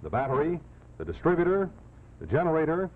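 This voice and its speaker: Animated American announcer on tinny old TV. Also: male voice, a little high, a little fast. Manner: folksy, polished, direct address. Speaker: commercial announcer. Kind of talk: 1950s television sponsor pitch. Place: television studio set. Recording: tinny, hissy 1950s television soundtrack.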